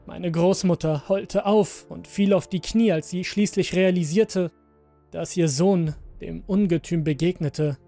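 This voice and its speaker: A man's voice: high voice, steady tone, a little slow, creaky, dark tone, soft background music. A man narrating a scripted first-person horror story for a podcast.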